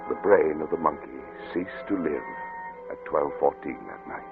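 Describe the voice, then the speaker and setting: An actor playing a driven, imperious scientist father with a curt, commanding manner in an old-time radio drama. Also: low-pitched. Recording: muffled, noisy old radio recording.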